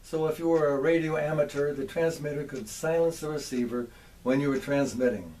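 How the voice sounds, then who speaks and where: Older American male hobbyist presenter: relaxed, warm tone, slightly high register, measured folksy delivery direct to viewers. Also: slight background noise, low-quality recording. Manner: measured, addresses viewers directly with rhetorical questions. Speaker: presenter, antique radio hobbyist. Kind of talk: folksy show-and-tell presentation to camera. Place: home workshop.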